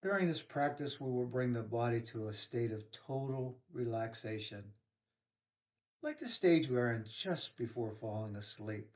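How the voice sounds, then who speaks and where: Man, mid-range voice, meditation guide, soothing instructional delivery, unhurried phrases with pauses. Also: medium pitch, expressive. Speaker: yoga nidra guide.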